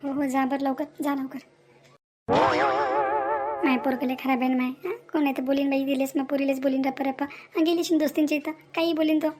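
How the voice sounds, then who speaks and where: An anxious village mother from a cartoon comedy acted by a woman. Her high voice is kept down, urgent and scolding, with a rural Maharashtrian accent. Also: flat delivery, fairly quick, over loud music, lightly acted. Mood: anxious, secretive. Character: anxious village mother hushing up a family secret. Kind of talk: Marathi comedy cartoon dialogue.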